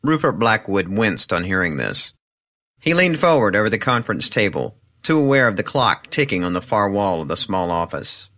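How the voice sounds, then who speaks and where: A man's voice: on the low side, very animated, moderate pace, sharp tone. A man narrating fiction as an audiobook storyteller.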